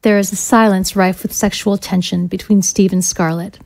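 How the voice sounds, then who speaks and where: A woman narrates an audio drama script, reading out the scene directions. Her voice is at an average female pitch, in a measured scripted style. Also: fairly even intonation, a little mellow.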